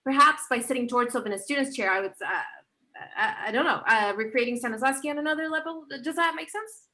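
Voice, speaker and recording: A woman acting an eager, flustered author in a play read-through, excitable and often searching for words. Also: high-pitched, fast talker. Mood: excited, musing. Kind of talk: stage play table read.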